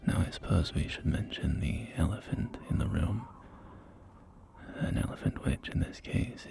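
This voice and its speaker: A man playing a folksy post-apocalyptic real estate agent in a whispered ASMR roleplay, with gentle, hesitant, reassuring sales patter. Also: low-pitched, gravelly, full character voice. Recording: close whisper over soft music.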